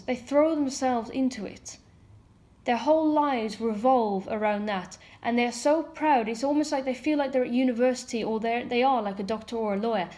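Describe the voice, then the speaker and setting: Higher-pitched woman, candid and talkative. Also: a little mellow. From Ireland. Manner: candid, frequent fillers and mocking asides. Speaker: ex-member commentator. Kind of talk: casual monologue commentary.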